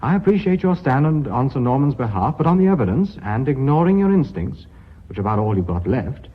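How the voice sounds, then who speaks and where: A man's voice: mid-range voice, big pitch swings, a little fast, breathy edge. An actor playing a formal British company chairman in a radio drama, precise and courteous. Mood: formal, businesslike. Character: formal, measured male company board chairman. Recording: dull old radio recording.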